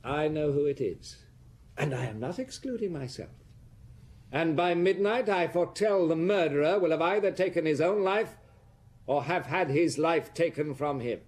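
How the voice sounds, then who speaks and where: A man acting a suave, sardonic aristocratic count in a radio drama, speaking with polite, ominous deliberation and a husky voice. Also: fairly high pitch, expressive, normal speed, a little mellow. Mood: cold, ominous.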